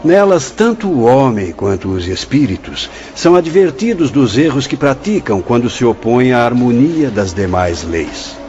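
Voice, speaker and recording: A man's voice: medium pitch, very animated, moderate pace, few pauses, a little mellow, natural voice. An actor in a Brazilian radio drama playing a grave, fatherly but stern spirit director, speaking formally with a Brazilian accent. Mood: firm, admonishing. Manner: solemn, declamatory, formal. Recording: dull lo-fi audio with music behind.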